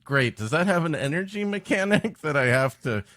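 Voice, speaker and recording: A man's voice: a little high, very animated, moderate pace, fairly bright tone, dry recording, studio quality, soft background music. A man co-hosting a comedy podcast, improvising sarcastic, mock-serious riffs in casual banter. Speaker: podcast co-host.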